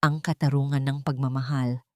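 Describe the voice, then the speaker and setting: A woman narrating a scripted first-person romance story in a measured, dramatic and sentimental way. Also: extremely low pitch, little pitch movement, no room sound, clean studio sound.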